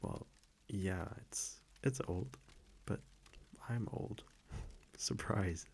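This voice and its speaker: A man playing an affectionate centuries-old vampire boyfriend in an intimate ASMR roleplay, speaking softly, hesitantly and teasingly into a close microphone. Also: lowish voice, very slow, vocal fry, breathy edge, heavily acted. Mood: teasing, fond. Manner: soft, hesitant, teasing, chuckling between phrases.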